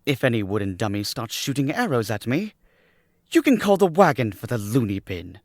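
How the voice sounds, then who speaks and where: Young male heir, radio mystery, acted by a man: bold, incredulous, medium pitch, highly expressive, close dry sound. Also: no echo. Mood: bold, impatient. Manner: brisk, insistent, incredulous. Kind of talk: 1940s-style radio mystery acting.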